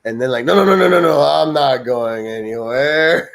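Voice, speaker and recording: A man's voice: mid-range voice, moderate pace, creaky. A man in his early thirties co-hosting a casual sports chat, laughing often and throwing "bro" into nearly every line. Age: 30s. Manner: animated, laughs often, says bro a lot. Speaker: podcast co-host.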